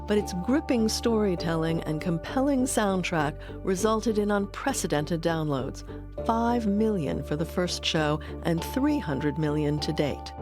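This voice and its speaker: A woman's voice: on the low side, lively intonation, unhurried, over music. A woman delivering scripted narration as a documentary narrator.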